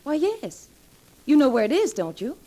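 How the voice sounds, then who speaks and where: A woman's voice: high-pitched, sing-song, moderate pace, mellow. A young woman playing an eager, determined college girl of twenty, polite and earnest, in 1940s radio drama style. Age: young adult.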